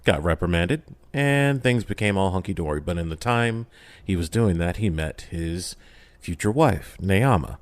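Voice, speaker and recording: A man's voice: deep voice, lively intonation, normal speed, dry recording. A man speaking casually as a podcast guest, recapping a story in an informal, slangy way with dramatic emphasis.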